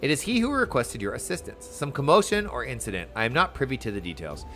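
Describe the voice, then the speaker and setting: A man's voice: mid-range voice, huge pitch swings, fast talker, bright, forceful tone, full character voice. A man reading aloud the lines of a formal, sardonic fantasy game leader in stately, archaic phrasing. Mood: dutiful.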